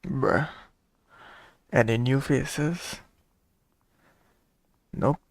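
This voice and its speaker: A man's voice: mid-range voice, drawn-out words, creaky. A man giving casual reactions as he plays through a game. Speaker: game streamer.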